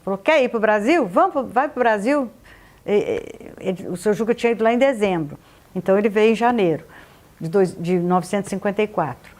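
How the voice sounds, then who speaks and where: A woman's voice: mid-range voice, fairly quick, a little mellow. Woman recounting a relative's arrival. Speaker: interviewee. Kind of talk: casual recollection.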